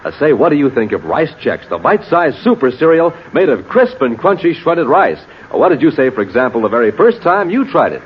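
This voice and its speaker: A male 1950s radio announcer with an animated, fairly high voice and punchy, emphatic delivery. He reads like a showman, on a dull old recording with clear noise. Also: brisk, few pauses. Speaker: announcer and cereal pitchman. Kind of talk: 1950s radio announcing, scripted ad and story narration.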